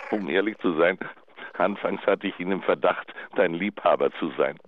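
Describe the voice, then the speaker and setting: An actor playing a betrayed, bitter husband leaving emotional, halting phone messages in a German radio drama. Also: male voice, sits low, lightly acted. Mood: wounded, wistful. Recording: dull answering-machine sound, faint noise.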